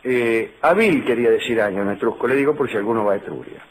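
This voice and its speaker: A man's voice: mid-range voice, fast, rough recording. A middle-aged man with a Rioplatense Spanish accent, hosting a radio show as a humorous lecture with dry, digressive asides. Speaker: radio host.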